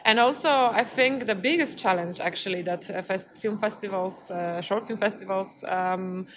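A woman with a Polish accent speaks in a medium-pitched voice, giving thoughtful, slightly hesitant interview answers. There is audible ambient noise around her. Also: normal speed, fairly bright tone. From Poland. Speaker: interview guest, film festival programmer. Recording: clearly noisy background ambience.

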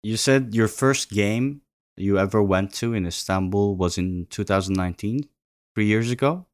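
A man's voice: lowish voice, normal speed, no room sound, clean studio sound. A man hosting a casual interview, asking short questions. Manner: brief questions and back-channel responses. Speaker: host.

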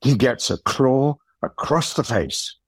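A man narrating an audio play as a scripted storyteller, in a measured manner. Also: mid-range voice, animated, husky, dry recording.

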